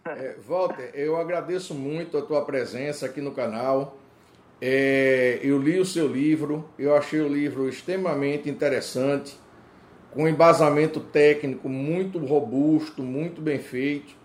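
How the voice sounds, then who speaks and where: A man hosting an interview, introducing his guest formally and asking questions in a measured, complimentary way. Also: on the high side, steady tone. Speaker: interview host.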